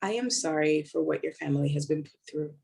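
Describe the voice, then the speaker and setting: A woman's voice: deep voice, a little fast, creaky, a little mellow, husky, heavily acted. An actress playing a driven, weary woman police officer in a staged play reading, earnest and blunt in short emphatic phrases. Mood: determined.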